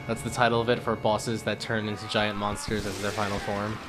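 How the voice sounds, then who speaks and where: A man's voice: on the low side, little pitch movement, fairly quick. A man co-commentating on a video game in a casual chat, tossing in wry, dry asides. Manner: wry, dry quips and pop culture asides. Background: video game music.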